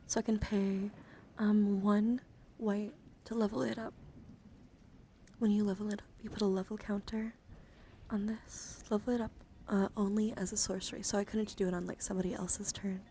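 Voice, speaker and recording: A woman hosting an ASMR livestream, slipping between whispering and soft speech in a gentle, chatty, encouraging way as she shows things to viewers. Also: mid-range voice, level tone, moderate pace, a little hiss or hum. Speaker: livestream host. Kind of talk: whispered ASMR, showing and reading out trading cards. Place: indoor livestream, hands-on camera.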